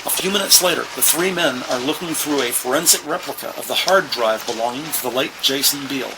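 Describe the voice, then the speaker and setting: An actor narrating a police procedural audio drama as a measured, factual male storyteller. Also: mid-range voice, background noise, lightly acted.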